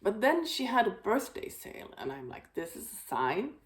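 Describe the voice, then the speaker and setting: A woman hosting a knitting video, chatting casually about her projects, with a slightly raspy voice and a rambling, filler-heavy way of talking. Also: mid-range voice, big pitch swings, normal speed. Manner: rambling, many fillers and restarts. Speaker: knitting podcast host.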